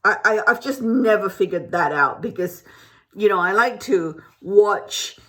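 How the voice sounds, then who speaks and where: A woman's voice: medium pitch, lively intonation, unhurried, fairly bright tone. A woman who grew up in Malaysia, chatting to camera at home in a rambling, casual way with many restarts. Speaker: beauty vlogger. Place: home, indoors.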